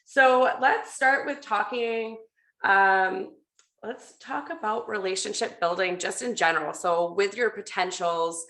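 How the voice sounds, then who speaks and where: A woman with a bright, forward voice hosts an informal group conversation. She sounds upbeat and chatty, speaking off the cuff at a middling pitch. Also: unhurried. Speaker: host of a team video call. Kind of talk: casual conversational talk.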